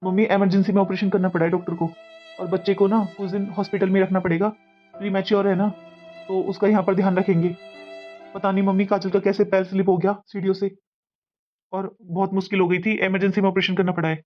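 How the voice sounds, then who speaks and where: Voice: medium pitch, little pitch movement, fast talker, close to an everyday voice. A performer voicing a worried adult son in a cartoon moral story, speaking plainly with concern, in muffled audio. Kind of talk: animated moral story dialogue. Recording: muffled audio over violin music.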